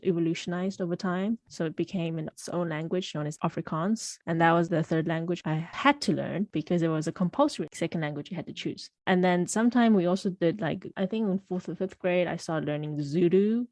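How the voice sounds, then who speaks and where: Chatty young woman with a low voice. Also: a little mellow, no room sound. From South Africa. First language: Shanghainese. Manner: chatty, laughs often, many filler likes. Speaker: podcast co-host. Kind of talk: casual chat.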